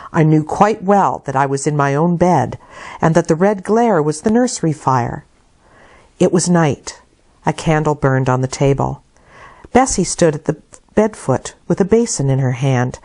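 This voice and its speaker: A woman reading literary narration aloud as an audiobook reader, with deliberate, dramatic phrasing. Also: sits low, darkish tone. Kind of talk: expressive scripted literary narration.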